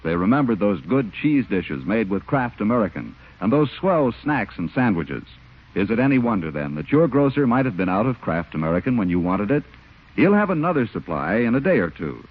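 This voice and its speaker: A man's voice: lowish voice, sing-song, fairly quick, husky. A man reading scripted announcements on 1940s radio in a polished, emphatic salesman's delivery. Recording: tinny, narrow-band 1940s radio recording.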